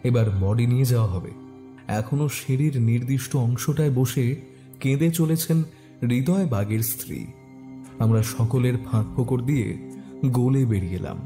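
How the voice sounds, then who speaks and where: A man narrates a scripted detective story in the first person. His voice sits at a medium pitch, with a prominent music bed running behind it. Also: normal speed, dark tone.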